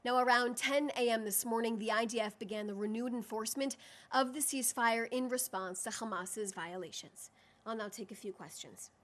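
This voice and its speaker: A woman speaking as a government spokesperson at a formal press briefing, firm and emphatic, with deliberate phrasing. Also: fairly high pitch. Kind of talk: formal press briefing, prepared statement and answers to questions.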